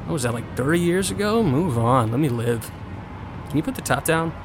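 An actor playing a privileged, brash young son in a first-person audio drama, wry and mouthy. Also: male voice, fairly high pitch, expressive, a little fast, noisy background. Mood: sullen, defiant.

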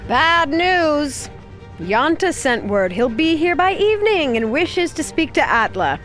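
A woman playing an excitable messenger in a fantasy audio drama. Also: high-pitched, measured pace, faint music behind, exaggerated voice. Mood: urgent.

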